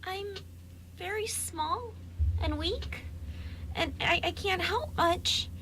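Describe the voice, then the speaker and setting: A very high, bright little fairy voice, timid and tearful, stumbling over words in a hesitant stammer. It comes from a radio play, with faint noise behind it. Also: female voice, takes it slowly, full character voice. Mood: timid, tender. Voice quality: small, high-pitched voice. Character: tiny frightened female fairy, timid and tender. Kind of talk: amateur radio play acting.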